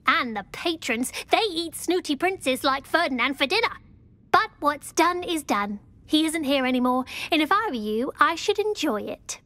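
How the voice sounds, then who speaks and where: An actress playing a sardonic, scornful woman in a video game, speaking briskly. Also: sits high, lively intonation, pushed and bright, no room sound. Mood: scornful, wry. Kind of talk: video game character acting.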